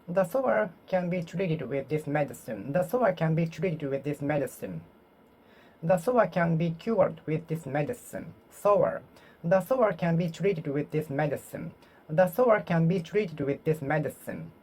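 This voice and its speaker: Solo learner, Japanese native, slow and halting, drills each phrase over and over. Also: mid-range voice, lively intonation, darkish tone, rough recording. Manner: repeats phrases, halting and self-correcting. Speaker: English learner. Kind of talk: solo speaking practice, talking to oneself.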